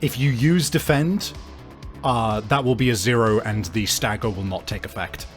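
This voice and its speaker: A man improvising narration as game master of a tabletop horror game, halting and deliberate as he searches for words. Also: on the low side, soft background music.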